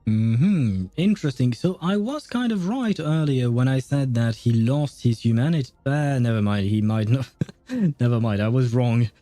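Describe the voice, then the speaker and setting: A man's voice: medium pitch, animated, moderate pace, warm tone, dry recording. A man hosting a game livestream, giving casual, rambling commentary as he thinks aloud. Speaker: livestream host.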